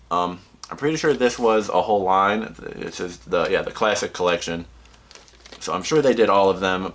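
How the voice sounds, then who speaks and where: A man hosting a casual movie collection vlog, rambling and halting as he interrupts himself, slightly sniffly from a cold. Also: fairly low pitch, fairly bright tone, a little hiss or hum. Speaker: host of a movie collection video channel.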